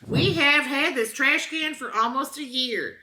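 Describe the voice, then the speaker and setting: Bright-voiced Southern woman, dry comic reader. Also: sits high, unhurried, poor audio. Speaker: comedy show co-host. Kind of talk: reading product reviews aloud with casual banter.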